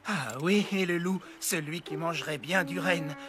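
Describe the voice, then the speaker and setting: A man's voice: on the high side, moderate pace, over music, lightly acted. An actor playing a grumbling, cautious male reindeer in a cartoon, sardonic and gloomy. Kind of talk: animated cartoon acting in French.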